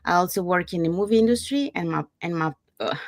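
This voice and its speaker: Female online host, slightly low voice, casual presenting style. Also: fast, dry recording, studio quality. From Panama. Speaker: host, digital business owner. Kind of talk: casual live webinar presenting.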